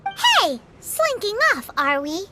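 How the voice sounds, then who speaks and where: A woman voicing a tiny, cheeky female fairy-like game companion with a squeaky childlike voice, blunt and sassy. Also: wildly swooping pitch, takes it slowly, exaggerated voice. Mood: curious, needling. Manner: speaks of herself in third person, sassy. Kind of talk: video game voice acting. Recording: loud game music behind.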